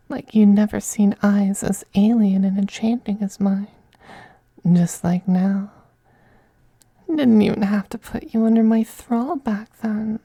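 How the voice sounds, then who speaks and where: A performer voicing a seductive, possessive male femboy vampire in an intimate ASMR roleplay, whispering softly and coaxing in a teasing, gently domineering way. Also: medium pitch, dry recording. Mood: adoring, possessive. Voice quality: breathy, soft whispering.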